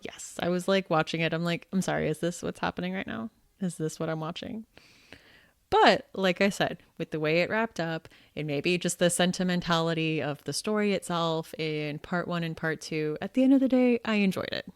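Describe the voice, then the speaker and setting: A woman co-hosting a podcast, giving her opinions in a lively, casual way and laughing often. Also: on the low side, normal speed, clear, resonant tone, no echo, clean studio sound. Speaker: podcast co-host. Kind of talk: casual chat.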